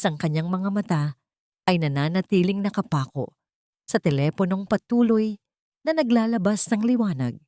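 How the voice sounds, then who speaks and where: A woman with a Filipino accent narrates a scripted dramatic story in measured, solemn phrases, close to the microphone. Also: lowish voice, mellow, no room sound.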